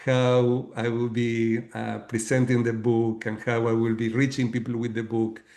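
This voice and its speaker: Middle-aged Argentine man, Spanish-accented English, steady flat delivery, methodical guest speaker. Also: mid-range voice. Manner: measured, explanatory, frequent fillers and restarts. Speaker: guest author and leadership coach. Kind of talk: webinar talk.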